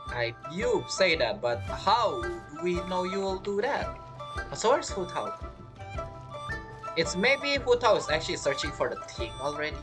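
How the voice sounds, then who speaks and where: Female game streamer, low-pitched, very expressive intonation, casual and rambling, reacting aloud. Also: a little slow, music in the background. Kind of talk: casual let's-play commentary.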